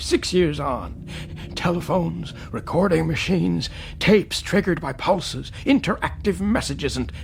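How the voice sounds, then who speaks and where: High-pitched, creaky, haunted old man. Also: a little hiss or hum, exaggerated voice. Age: older. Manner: halting, brooding, agitated monologue. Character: lonely, weary, haunted old man, last one left. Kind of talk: dramatic radio play acting.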